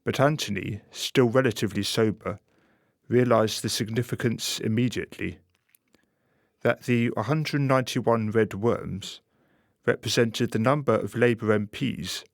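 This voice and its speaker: A man narrating a scripted radio play in a wry, measured storytelling manner. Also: on the low side, vocal fry, dry recording, studio quality.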